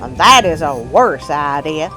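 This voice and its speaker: A woman's voice: low-pitched, big pitch swings, unhurried, over music, voice pushed down. A woman voicing a wisecracking, skeptical puppet sidekick who interrupts with snarky questions in a children's story show. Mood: skeptical heckling.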